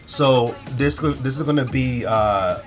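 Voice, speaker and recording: A man's voice: mid-range voice, slow, soft background music. A young man with a cold and a stuffy, nasal voice, talking casually and loosely through a clothing haul with lots of slang and fillers. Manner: laid-back, slangy, rambling with fillers. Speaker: fashion vlogger.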